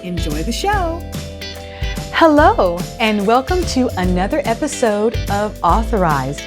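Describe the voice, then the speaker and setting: A woman hosting a children's read-aloud, speaking in a deliberate, clearly phrased storytelling style. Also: mid-range voice, sing-song, music in the background.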